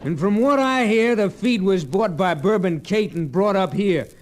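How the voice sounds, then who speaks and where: A man's voice: sits high, lively intonation, normal speed, crowd noise. A man playing a hot-headed, scornful rancher in an old radio western, belligerent and sarcastic. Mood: sneering. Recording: faintly noisy old radio recording.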